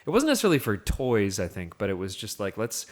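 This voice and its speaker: Male film podcast host, lower register, casual conversational recapping with little acted asides. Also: wildly swooping pitch, fast.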